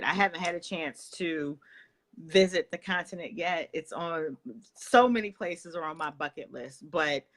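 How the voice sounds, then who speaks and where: A woman hosting an interview from her home, her voice notably bright and crisp. She talks in a halting way, full of fillers and pauses, and keeps affirming what her guest says. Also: fairly low pitch. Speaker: interview host. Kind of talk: interview chat.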